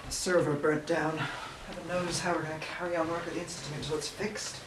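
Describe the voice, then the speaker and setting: An actress playing an anxious, disapproving working colleague in an audio play. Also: female voice, low-pitched, little pitch movement, a little fast, lightly acted. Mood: worried. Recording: echoey, distant, noisy.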